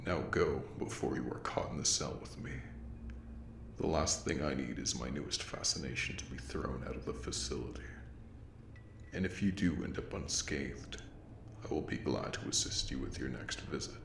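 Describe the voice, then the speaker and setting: An extremely deep, gravelly voice belongs to a courtly plague doctor creature, acted by a man. He speaks slowly and formally in a breathy murmur, with calm menace and obsessive interest. It is an audio roleplay with a roomy echo around the voice. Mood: possessive, threatening. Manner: slow, formal, old-fashioned diction, softly menacing. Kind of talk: audio roleplay monologue addressed to the listener. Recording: roomy echo with faint background noise.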